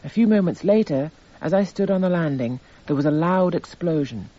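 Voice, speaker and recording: An impassive narrator reading exhibits in a radio courtroom drama. His voice is mellow and husky, the delivery measured and detached. The recording is slightly dull. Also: fairly high pitch, lively intonation. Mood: detached, factual. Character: impassive male narrator itemising court evidence. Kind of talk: scripted documentary-drama narration, reading evidence aloud.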